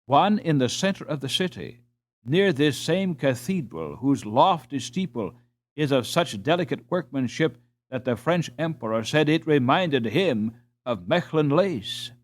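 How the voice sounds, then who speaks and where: Genteel storybook gentleman with animated intonation, read by a man. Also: mid-range voice, measured pace. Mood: genteel enthusiasm. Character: courteous, cultured Dutch gentleman host, enthusiastic about art.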